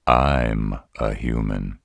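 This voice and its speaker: A man playing a gruff, protective older father in a roleplay audio, with a gravelly voice and a slow, deadpan, measured delivery. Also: no room sound, studio quality, full character voice. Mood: gentle, firm. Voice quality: deep, gravelly. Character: gruff old father of a werebear's mate, protective, dryly stern.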